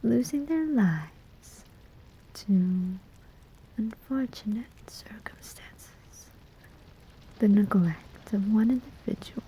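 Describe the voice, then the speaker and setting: A woman's voice: mid-range voice, lively intonation, mellow, heavily acted, low-quality recording. A woman playing a sly, amused demon collector of souls in a whispered, teasing ASMR roleplay. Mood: smug, teasing. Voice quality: breathy, whispering. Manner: slow, teasing, deliberate pauses.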